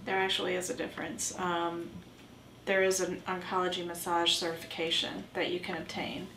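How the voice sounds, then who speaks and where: A woman playing a reassuring oncology massage therapist in an amateur role play, explaining in a halting way. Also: lowish voice, flat delivery, close to an everyday voice. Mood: reassuring, explanatory. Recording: roomy, distant mic with faint noise.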